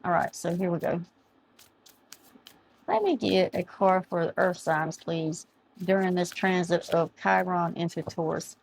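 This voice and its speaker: A woman's voice: lowish voice, expressive, a little slow, creaky, a little hiss or hum, low-quality recording. Chatty woman reading tarot. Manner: chatty, reads aloud from a card book, loses words. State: losing her voice. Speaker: tarot reader. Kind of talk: casual tarot reading to camera. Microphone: camera mic, speaking to camera.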